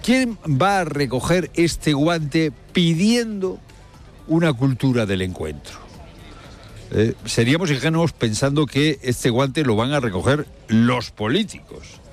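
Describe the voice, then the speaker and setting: A man's voice: medium pitch, animated, measured pace, soft background music. A man presenting radio news with live, emphatic commentary, asking rhetorical questions and repeating key phrases for effect. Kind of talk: live spoken commentary with field reporting.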